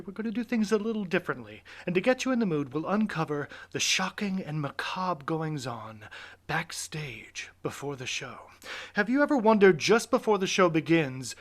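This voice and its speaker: Bright, high-voiced man hosting with theatrical flair. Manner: mock-suspenseful, playful, effusive. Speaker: host, director and adapter of a live audio play. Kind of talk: playful theatrical introduction and backstage narration.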